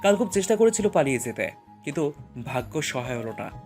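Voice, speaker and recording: A man narrating romantic fiction as a scripted audio story, with expressive, emotional storytelling. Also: fairly high pitch, a little fast, faint music behind.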